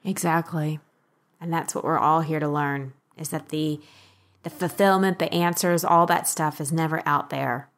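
Woman, slightly low voice, life coach and podcast host, encouraging, instructive, explanatory talk. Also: fairly even intonation, normal speed.

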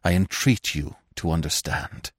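An anxious middle-aged man in love, speaking low, hurried and hushed, as a male reader plays him. His voice is deep and dry, close to the microphone. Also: husky, no room sound, exaggerated voice. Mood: hushed entreaty. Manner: hushed, hasty entreaty.

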